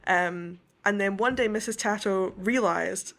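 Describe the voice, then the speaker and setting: A woman's voice: mid-range voice, a little slow, creaky, a bit bright. A young woman from the west coast of Scotland, an author telling her story as an interview guest, thoughtful and detailed.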